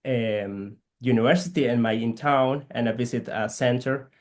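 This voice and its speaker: A man from Guatemala with a Guatemalan Spanish accent, whose first language is K'iche', speaking haltingly as an interview guest and searching for words. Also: mid-range voice. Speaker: guest, physical therapist missionary. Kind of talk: conversational interview.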